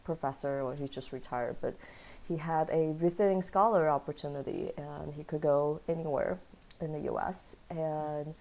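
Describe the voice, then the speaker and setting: A woman's voice: deep voice, rough recording. A woman raised in Taiwan and Kansas, a guest in a casual interview, telling her story with frequent laughter. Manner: animated storyteller, laughs often.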